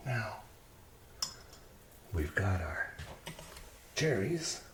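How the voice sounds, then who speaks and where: A man murmurs his way through an ASMR cooking demonstration in a low, unhurried voice. His phrases are short and sparse. The kitchen sounds roomy and echoey, with clear clatter and clicks around him. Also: very animated, noisy background. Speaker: home cook presenting a recipe. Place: home kitchen, indoors.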